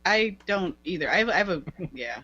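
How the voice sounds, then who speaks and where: A giggly male co-host with a whiny, high voice that bounces all over. His tone is bright and sharp, and the dry recording sounds slightly muffled. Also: a little fast, no room sound. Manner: giggly, animated. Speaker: podcast co-host. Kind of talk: casual podcast chat.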